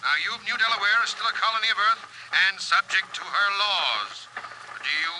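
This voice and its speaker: Domineering, piercingly bright imperial inspector. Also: male voice, high voice, lively intonation, a little fast, full character voice. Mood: brusque, commanding. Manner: peremptory, formal, pompous. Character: domineering, officious imperial colonial inspector, male. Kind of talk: 1950s radio drama acting. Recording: bright, faintly noisy old radio recording.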